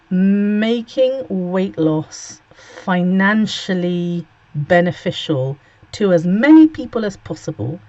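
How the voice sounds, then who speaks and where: A man's voice: high voice, darkish tone, no echo. A man in his forties hosting a casual solo monologue, sarcastic and blunt, swearing freely and a bit sniffly with a cold. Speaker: host. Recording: dull lo-fi recording.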